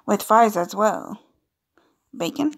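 A woman chats her way playfully through a game, reading dialogue aloud and adding amused asides. Her mid-pitched voice has a creaky edge, over faint background noise with some typing and clicks. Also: lively intonation, slow pace. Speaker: gaming video creator. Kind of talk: casual gameplay commentary, reading on-screen dialogue aloud.

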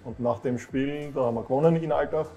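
A man in his thirties with an Austrian accent, a professional footballer, answering calmly and modestly. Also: mid-range voice, quick pace, soft background music. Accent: Austrian German. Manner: calm, modest, plain-spoken. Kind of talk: relaxed interview answers. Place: football club café with shelves, indoors.

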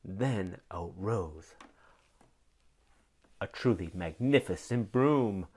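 Female storybook reader: unhurried, dramatic, with highly animated intonation. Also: husky. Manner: expressive, dramatic. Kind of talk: scripted children's story narration.

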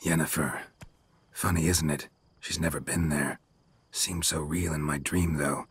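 An actor playing a stoic, brooding male monster hunter in a video game, terse and understated, with a husky, raspy voice. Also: fairly even intonation, unhurried, dry recording, exaggerated voice. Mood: subdued, reflective. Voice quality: very low, husky rasp. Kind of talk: video game dialogue acting.

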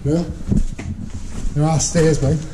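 A man's voice: a little high, flat delivery, slow pace. A man chatting casually and matily, with some swearing, as he hosts an exploring video on an action camera in an empty building. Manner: informal, matey, sweary. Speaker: urban exploration video host. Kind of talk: casual chat while exploring. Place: inside an empty derelict school. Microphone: action camera carried by him. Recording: very noisy action-camera audio.

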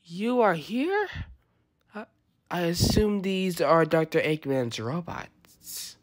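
A woman voicing a formal, reserved cat princess in an amateur comic dub, speaking politely and with measured composure. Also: lowish voice, very animated, full character voice. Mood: composed.

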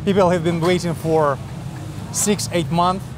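A man's voice: high voice, steady tone, measured pace. Male vlogger, upbeat walking-tour narration, recorded outside with street noise. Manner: chatty, enthusiastic, speaks to viewers directly. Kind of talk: casual travel vlog narration. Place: outdoors on busy city streets. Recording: clear street noise behind. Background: traffic and street noise.

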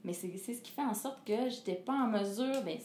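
A woman's voice: a little high, brisk, a little mellow. A middle-aged woman with a Québécois French accent, a singer speaking as an interview guest, earnest and reflective, hesitating often and laughing as she talks. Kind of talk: candid personal conversation. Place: living room with a fireplace, indoors. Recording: echoey room with faint hiss.

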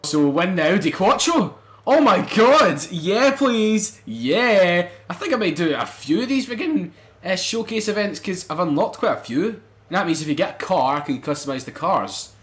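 A young man commentating a racing game let's play, excitable and swearing, bursting into loud exclamations. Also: sits high, moderate pace. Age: young adult. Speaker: gaming channel host.

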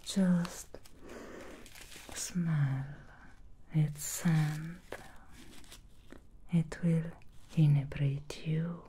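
A woman voicing an ancient female demon hairstylist in a whispered ASMR roleplay, with a soft, breathy whisper close to the ear. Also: deep voice, fairly even intonation, drawn-out words, pauses often, a little hiss or hum. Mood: soothing whisper. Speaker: ASMR creator.

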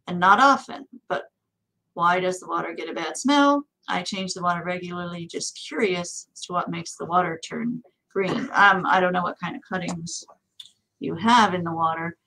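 A woman's voice: fairly low pitch, steady tone, normal speed, sharp tone, rough recording. A middle-aged woman with an American accent, co-hosting a casual, conversational question-and-answer chat. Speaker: co-host. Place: small indoor office.

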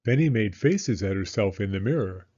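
A man narrating a children's story as a storyteller, in a measured, expressive manner. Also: lowish voice, highs cut off.

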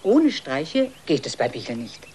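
A woman narrator telling a scripted children's story in a measured storytelling manner. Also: low-pitched, very animated, a little mellow, a little hiss or hum.

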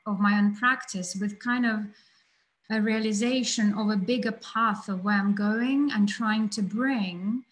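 A woman's voice: medium pitch, a little slow, low-quality recording. Thoughtful woman poet on a video call. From Ukraine. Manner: thoughtful, hesitant, laughs lightly. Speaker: poet and yoga teacher. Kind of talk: casual interview talk. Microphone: video call mic.

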